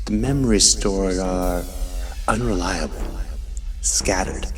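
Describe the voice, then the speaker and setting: Man as a slow, theatrical, wry technician. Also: deep voice. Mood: musing. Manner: theatrical, drawn-out phrasing. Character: wry, chatty male memory technician, musing and theatrical. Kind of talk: radio drama acting. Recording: loud music behind voice.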